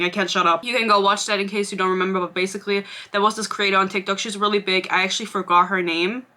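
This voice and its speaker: A woman's voice: medium pitch, pushed and bright. A young woman in her twenties whose first language is German, chatting casually as a book vlogger, rambling and sarcastic, laughing often. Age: 20s. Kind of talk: casual chat.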